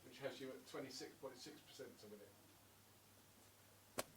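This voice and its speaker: A man's voice: mid-range voice, measured pace, background noise. A man, a sports radio journalist asking friendly, leading questions at a press conference. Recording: roomy press room from a distance.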